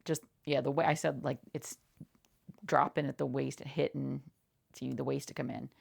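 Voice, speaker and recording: Low-pitched, creaky soft-spoken ASMR woman. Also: steady tone. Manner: casual, halting, murmuring repeated reassurances. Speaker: ASMR creator playing a seamstress.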